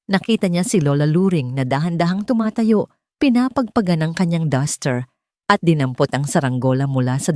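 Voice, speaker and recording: A woman's voice: sits low, darkish tone, no echo, clean studio sound. A woman narrating an audiobook in a dramatic, scripted storytelling style.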